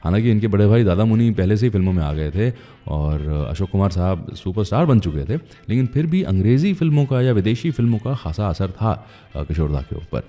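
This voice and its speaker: A man's voice: on the low side, big pitch swings, a little fast, hardly any pauses, warm tone, big-room sound. A man hosting a radio show in a chatty, conversational storytelling manner, speaking directly to his listeners.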